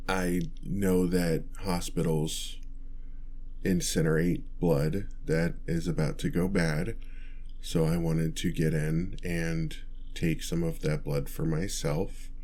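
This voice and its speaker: A man's voice: extremely low pitch, warm tone, slightly rough, heavily acted. A man playing a meek, nerdy, newly turned vampire in an ASMR roleplay, hesitant, stammering and apologetic as he rambles.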